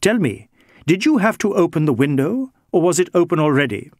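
Man acting a male Indian police inspector, raised pitch, brisk, demanding, snappish, lively intonation, audiobook dialogue. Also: no room sound, studio quality. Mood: insistent. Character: timid but insistent Indian police inspector, snappish, questioning.

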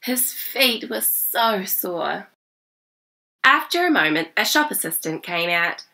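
A woman, reading a children's story aloud in an animated, smiling way. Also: mid-range voice, slow pace, vocal fry. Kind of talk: expressive storybook read-aloud. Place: small indoor reading room.

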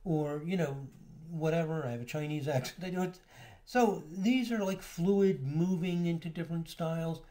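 A man talks through a slideshow lecture in an easygoing, explanatory way, stopping here and there on small fillers. His voice sits somewhat high for a man yet sounds warm and mellow rather than bright. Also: normal speed. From United States. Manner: relaxed, explanatory, with filler words. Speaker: astrology lecturer.

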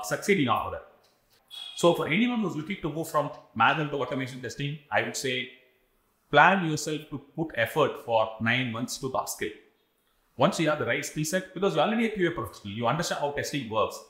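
A man in his forties with an Indian English accent, giving career advice to camera. He talks quickly and keeps adding "right?" to his points. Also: medium pitch, fairly bright tone, reverberant. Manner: fast, adds frequent "right?" tags. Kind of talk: explanatory talk to camera. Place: studio set styled as a cafe.

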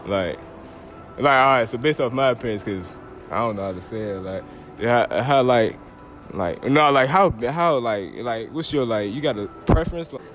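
A man's voice: medium pitch, expressive, normal speed, fairly bright tone, soft background music. A man in his late teens chatting and joking in slang as he hosts casual street interviews in a mall. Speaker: vlog host and street interviewer. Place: shopping mall and stores.

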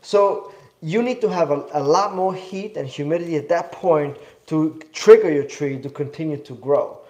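A man's voice: fairly high pitch, moderate pace, mellow, reverberant. A young man from Switzerland with a slight cough, eagerly teaching in a live studio demo, rambling and correcting himself. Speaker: bonsai demo host. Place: indoor studio.

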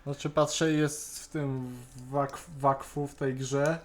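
A man's voice: medium pitch, level tone, takes it slowly, slight background noise. A man chatting casually on an online call, often stammering and repeating the starts of words. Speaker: co-host on a Skype call. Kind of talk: casual chat reviewing an animated series.

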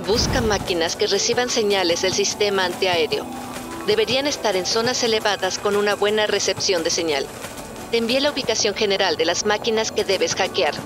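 Woman acting official android operator over loud music. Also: mid-range voice, fairly quick, close to an everyday voice. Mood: businesslike. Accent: Latin American Spanish. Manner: matter-of-fact, official. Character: brisk female android operator giving mission orders. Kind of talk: video game dub.

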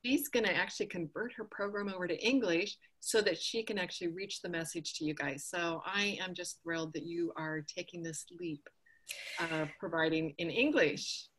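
A woman's voice: fairly low pitch, moderate pace, a bit bright, breathy edge, poor audio. A woman hosting an online summit, introducing her guest with effusive enthusiasm over a video call. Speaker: host.

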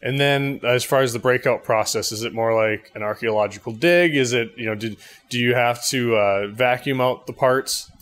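A young man asking casual interview questions on location, phrasing them in a tentative, halting way. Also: medium pitch, a bit bright. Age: young adult. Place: indoor 3D printing workshop. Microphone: handheld interview mic. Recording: noisy machine-room ambience.

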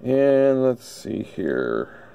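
A man casually demonstrating a product unscripted, thinking aloud in a halting way. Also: medium pitch, big pitch swings, gravelly, dark tone, slight background noise. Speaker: gadget reviewer. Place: indoor electronics workbench.

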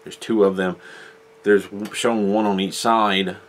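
Low-voiced man reviewing a model. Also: flat delivery, a little slow. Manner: chatty, explains step by step, gestures while talking. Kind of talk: casual review vlog to camera. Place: small indoor room with model-filled shelves.

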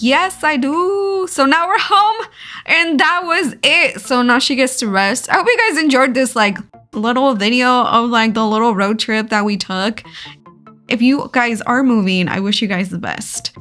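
A woman's voice: a little high, lively intonation, moderate pace, pushed and bright, faint music behind. A woman narrating her road trip vlog in a casual, chatty way, giggling often and filling her talk with "like". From California. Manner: chatty, giggly, many "like" fillers. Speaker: vlogger.

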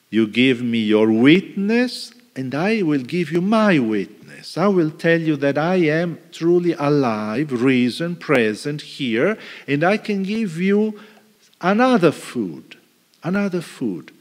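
A middle-aged male priest preaching a homily at a lectern in a large church, reflective, with pauses and restarts. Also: medium pitch. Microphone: stand mic at lectern. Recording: reverberant church hall.

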